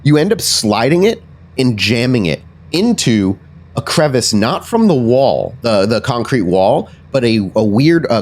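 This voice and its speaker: A man acting as game master, improvising descriptive narration for a tabletop game in a conversational way. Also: mid-range voice.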